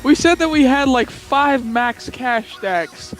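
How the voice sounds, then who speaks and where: A man's voice: extremely high pitch, lively intonation, takes it slowly, a bit bright, faint music behind. A young man chatting casually as he tells a gaming story, giggly and rambling, often repeating himself. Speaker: gamer telling a story.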